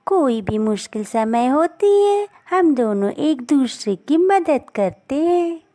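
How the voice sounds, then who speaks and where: A woman voicing a caring, patient mother bird in a cartoon story, gentle and instructive. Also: high-pitched, animated, takes it slowly. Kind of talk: cartoon story character acting.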